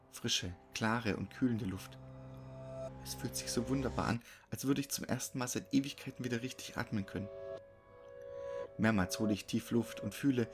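A man narrating a scripted horror story. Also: mid-range voice. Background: music.

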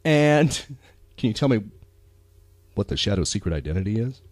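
Animated man chatting as podcast host. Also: on the low side, moderate pace, no room sound. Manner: enthusiastic. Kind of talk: casual rambling chat about pop culture.

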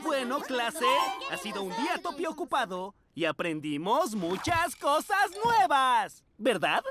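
A woman's voice: on the high side, sing-song, measured pace, background noise. A woman voicing a kindly male mole kindergarten teacher in a children's cartoon, theatrical and encouraging. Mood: warm, eager. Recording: music behind the voice.

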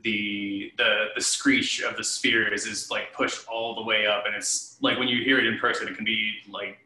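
Very sharp, bright man, slightly low, roomy. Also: little pitch movement, moderate pace. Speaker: interviewed theatre director and performer. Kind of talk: casual panel discussion. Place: living room at home, indoors. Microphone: computer mic at a short distance, video call. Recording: roomy, echoey home video call.

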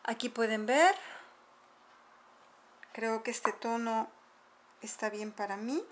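A middle-aged woman calmly explaining, step by step, how she mixes powders at a table at home, filmed by a camera above the table. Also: on the high side, slow pace, background noise. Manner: patient step-by-step explaining while mixing powders. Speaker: makeup tutorial host. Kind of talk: casual how-to demonstration. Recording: home video with clinking dishes. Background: clinking spoons and bowls.

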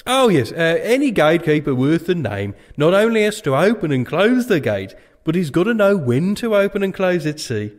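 A man's voice: on the high side, sing-song, normal speed, few pauses. An English man playing a dithering, pedantic, deferential medieval gatekeeper in a comedy audio drama, rambling and fussy. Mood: fussy, placating.

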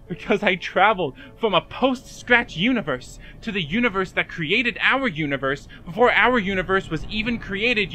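A man's voice: high-pitched, bright, forceful tone, a little hiss or hum. A man playing an anguished, self-doubting young man in a fan audio drama, rambling and self-deprecating as he confides in a friend. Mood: wry, rambling. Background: music.

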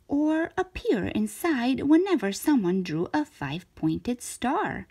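A woman narrates a storybook for young children with wide, lively intonation. Her pace is slow and deliberate, stretching out key words. The voice has a slightly breathy, husky edge. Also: a little high. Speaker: children's storytime host reading a picture book aloud.

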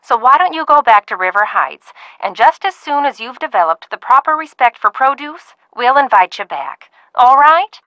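An actress playing a fussy older aunt who runs a ranch in a video game, genteel and stern, often calling people dear. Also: female voice, high-pitched, lively intonation, normal speed, few pauses, sharp tone, dry recording, lo-fi sound. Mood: prim scolding. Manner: prim, genteel scolding with frequent "dear".

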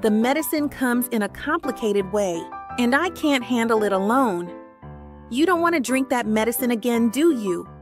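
A woman's voice: fairly high pitch, animated, normal speed, soft background music, close to an everyday voice. A woman voicing a sly, coaxing pony nurse in a cartoon comic dub. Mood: coaxing, reassuring.

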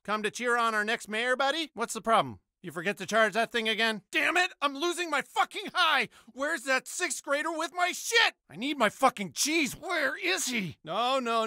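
An American man voicing a secretive, frazzled suburban dad in an animated comedy video game, prone to foul-mouthed rages. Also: extremely high pitch, no room sound, clean studio sound, exaggerated voice. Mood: friendly, awkward, then furious, strung-out, then frantic, bitter.

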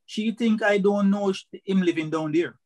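Man acting a higher-voiced indignant Jamaican husband. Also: moderate pace, darkish tone, lightly acted. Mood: bitter, indignant. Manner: grumbling. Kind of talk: radio drama acting.

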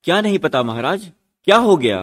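A man's voice: on the high side, big pitch swings, a little fast, a bit bright. A man playing a courteous, protective royal husband who is torn and troubled, in a radio drama. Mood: alarmed. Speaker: actor in a student radio drama.

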